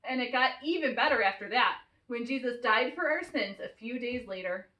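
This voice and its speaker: A woman voicing a kindly, patient puppet who gently explains things in a children's puppet show. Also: a little high, lively intonation, normal speed, reverberant, distant mic, low-quality recording. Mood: encouraging. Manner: gently correcting, encouraging.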